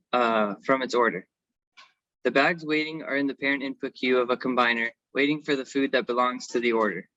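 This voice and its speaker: A man's voice: medium pitch, bright, forceful tone, no room sound. A man giving a semi-scripted technical presentation as an engineering consultant, on a slightly dull recording.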